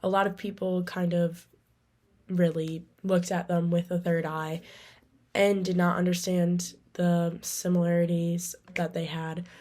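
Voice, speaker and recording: A woman presents information in a lowish, mellow voice with very little melody. She is halting, pausing often and filling gaps with ums. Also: flat delivery. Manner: halting, with frequent ums and pauses. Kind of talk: semi-scripted informational presentation.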